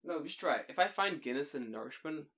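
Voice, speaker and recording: Lively, laughing young man co-hosting a podcast. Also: on the high side, fast talker. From England. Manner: animated storyteller, laughs a lot, swears casually. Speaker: podcast co-host. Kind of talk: casual chat. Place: small home room, indoors. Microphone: studio mic on boom arm.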